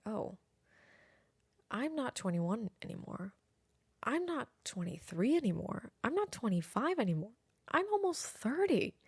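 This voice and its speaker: A woman's voice: lowish voice, gravelly, a little mellow, no room sound. A woman in her late twenties chatting casually as a podcast host, reflective and repeating phrases for emphasis. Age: late 20s. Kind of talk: casual solo podcast chat. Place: at home near an airport.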